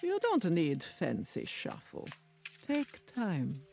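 Wry older psychic. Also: female voice, on the low side, wildly swooping pitch, slow pace, gravelly, over music, exaggerated voice. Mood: dry. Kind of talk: audio drama acting.

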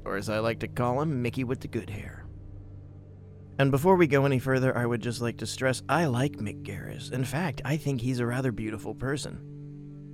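A man hosting a conversational film podcast, speaking in an emphatic, opinionated way. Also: mid-range voice. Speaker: podcast host.

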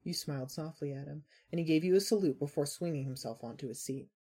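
A woman narrator reading scripted fiction in a husky voice. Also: sits low, quick pace, mellow.

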